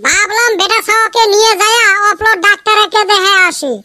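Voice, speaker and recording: A woman's voice: very high voice, steady tone, fairly quick, pushed and bright, no echo. A woman voicing a cheeky, chatty young neighbour in a comedy cartoon, teasing.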